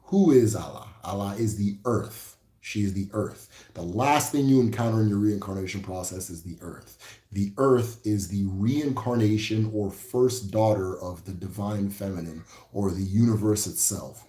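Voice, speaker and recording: A man with an Igbo accent teaching spirituality in a casual livestream, relaxed and reflective, who often repeats key phrases and tags "right?". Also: fairly low pitch, unhurried, reverberant. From Igbo, Nigeria.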